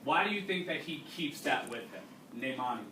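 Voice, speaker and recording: A man reading a storybook aloud to a class as their teacher, pausing to explain and ask questions. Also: medium pitch, lively intonation, bright, forceful tone. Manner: instructive, checking understanding with questions. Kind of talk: read-aloud with explanatory asides and questions to pupils. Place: indoors, classroom. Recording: roomy, distant mic, faint background noise.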